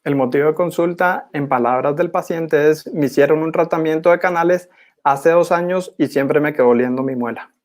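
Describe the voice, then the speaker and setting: A young man gives a formal academic presentation in a measured, didactic manner as a postgraduate student. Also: a little high. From Guatemala. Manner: measured, didactic, clearly enumerating.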